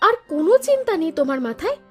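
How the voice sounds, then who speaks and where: A devoted young village daughter in a cartoon folk tale. Her voice is very high, emotive and insistent, with clear music underneath. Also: sing-song, very fast, a little mellow. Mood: scolding, caring. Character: devoted, stubborn young village daughter who sells chillies. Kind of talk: animated Bengali folk tale voice acting. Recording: music behind the voice.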